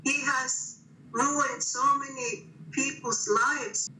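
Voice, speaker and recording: A woman is interviewed about her late brother and speaks slowly and resolutely. Her voice is somewhat high and bright. The room around her has a noticeable echo. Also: steady tone, distant mic, a little hiss or hum. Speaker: sister of a victim. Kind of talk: interview by call.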